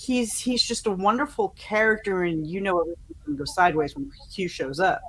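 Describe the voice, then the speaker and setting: A woman chatting casually as a podcast panelist, giving fan opinions in a halting, conversational way. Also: medium pitch, fairly bright tone, husky, rough recording.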